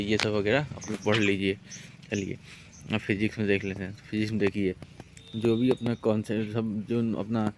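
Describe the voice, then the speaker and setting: A man speaks in a low, very even voice over faint background noise. He coaches students informally, giving direct instructions and often repeating them. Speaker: exam-prep coach. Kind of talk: informal exam-advice lesson.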